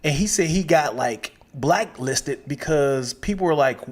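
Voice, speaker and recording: Male co-host, slightly high-pitched, laid-back casual talker who trails off mid-thought. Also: unhurried. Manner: relaxed, trails off, quotes others in raised voices. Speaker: podcast co-host. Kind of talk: casual chat.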